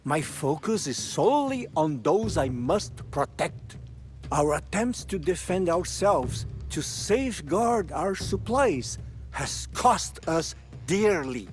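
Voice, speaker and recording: A grave, higher-voiced village elder. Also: male voice, big pitch swings, faint music behind. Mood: grave, guarded. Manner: formal, grave, measured. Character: guarded, dutiful village elder, a protective leader. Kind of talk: dramatic game cutscene acting.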